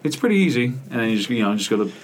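A man's voice: medium pitch, very animated, racing, a little hiss or hum. A young man co-hosting a podcast, chatting bluntly and laughing often. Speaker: podcast co-host. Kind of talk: casual chat.

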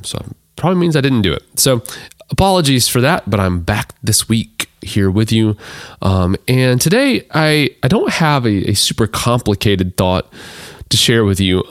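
Man, deep baritone, hoarse, rambling podcast host, dry close sound. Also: very animated, normal speed, no room sound. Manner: rambling, many restarts and fillers. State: hoarse from allergies. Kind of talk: casual solo podcast talk.

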